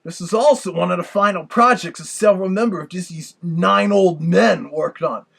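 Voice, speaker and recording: A man giving casual review commentary as a vlogger, in a husky, gravelly voice. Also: high-pitched, moderate pace, a bit bright.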